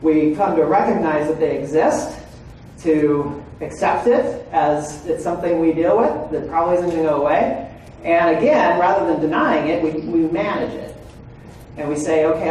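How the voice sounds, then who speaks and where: A man's voice: a little high, moderate pace, darkish tone, slight background noise. A man in his forties presenting to a room of legal professionals, often checking in with "right?" and "okay?". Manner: frequent "right?" and "okay?" check-ins with listeners. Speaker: presenter at a legal training seminar. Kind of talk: conversational lecture to an audience. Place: large room with an audience. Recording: echoey room, far from the mic.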